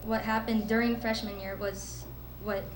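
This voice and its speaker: A woman's voice: on the high side, little pitch movement, normal speed, noisy background. A teenage girl from the Philippines giving an earnest prepared speech as a student speaker at a school event. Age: teens. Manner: earnest, with nervous laughs. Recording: echoey room with faint ambience.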